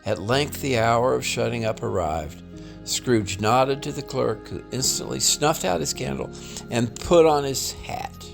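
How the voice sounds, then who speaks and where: A man reading a classic tale aloud as scripted narration, theatrical and deliberate in his delivery. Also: mid-range voice, gravelly, music in the background. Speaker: storybook reader.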